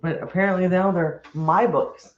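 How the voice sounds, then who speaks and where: A woman chatting on a homestead livestream, rambling and friendly in tone, often restarting her sentences. Her voice sits at an ordinary pitch. The audio is roomy and a little dull. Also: steady tone, normal speed. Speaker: homestead channel co-host. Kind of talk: casual livestream chat.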